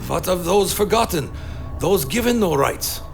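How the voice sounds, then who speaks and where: A man playing a brooding vampire who tells his tale in a grave, deliberate, dramatic way, as scripted gothic radio play narration. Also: high voice, animated, vocal fry, full character voice. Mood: wary, questioning. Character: Alaric the Damned, brooding male vampire telling his tale. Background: music.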